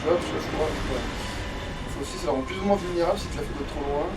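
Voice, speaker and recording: A man commentates his own play in loose slang. He swears in exasperation. The sound is distant from the mic, with loud noise behind him. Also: fairly high pitch, quick pace. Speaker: let's player at the controls. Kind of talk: casual gaming commentary chat.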